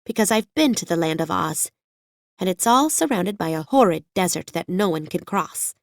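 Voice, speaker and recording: A woman's voice: fairly low pitch, lively intonation, normal speed, creaky, no room sound. A chatty, plucky little girl from a storybook, acted by a woman. She talks earnestly and confidently, with folksy childlike turns of phrase. The recording is dry and close. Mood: chatty, sure. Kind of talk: audiobook character voice.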